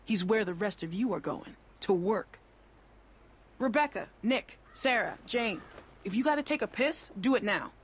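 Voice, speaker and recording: An actress playing a brisk, hard-nosed, commanding female guard in a video game, with an American accent. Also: mid-range voice, expressive, a little hiss or hum, lightly acted. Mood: curt, commanding. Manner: curt, commanding.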